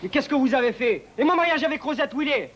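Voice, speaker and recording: An actor playing a hot-headed, aggrieved young suitor with a French Algerian pied-noir accent, blustering in a comic drama. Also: male voice, very high voice, animated, fast talker, dull audio, slight background noise. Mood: furious, wailing. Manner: agitated, colloquial, blustering.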